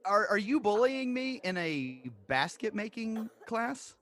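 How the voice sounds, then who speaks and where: A man with a slightly raised, very lively voice that swings all over, playing a teacher in an improv comedy podcast. He keeps asking confused, incredulous questions about what is going on. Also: high-pitched, unhurried.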